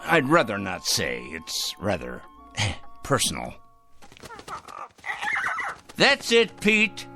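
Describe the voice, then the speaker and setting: A man's voice: mid-range voice, gravelly, sharp tone, full character voice. An actor in a radio drama playing a fussy, self-righteous male fanatic who speaks in a prim, formal, emphatic way. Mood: officious, secretive, then fussy, cosy.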